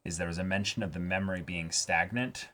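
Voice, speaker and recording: A man with a deep voice talks casually as a co-host breaking down a story. He works through his reading piece by piece, hesitating as he shapes each thought. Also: brisk, bright, forceful tone. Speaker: co-host of an anime reaction show. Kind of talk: casual analytical discussion.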